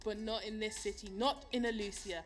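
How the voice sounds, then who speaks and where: A woman's voice: medium pitch, steady tone, brisk, faint music behind, natural voice. An actress playing a blunt, wry, sweary young woman radio host in an audio drama. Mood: reflective. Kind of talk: audio drama banter between radio co-hosts.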